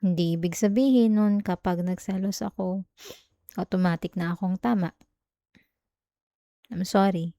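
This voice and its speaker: A woman's voice: medium pitch, a little slow, pauses often, mellow, no room sound, studio quality, natural voice. A woman acting as a jealous, clingy young girlfriend in an intimate ASMR roleplay, with a Filipino accent. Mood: remorseful, tender.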